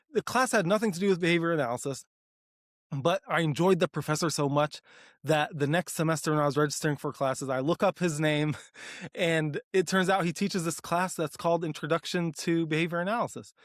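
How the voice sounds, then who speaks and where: A man in his thirties from Texas, talking eagerly and earnestly as an interview guest, full of "like" fillers and quick restarts. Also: fairly high pitch, no room sound, clean studio sound. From Dallas, Texas, US. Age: 30s. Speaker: guest, applied research leader. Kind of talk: conversational interview.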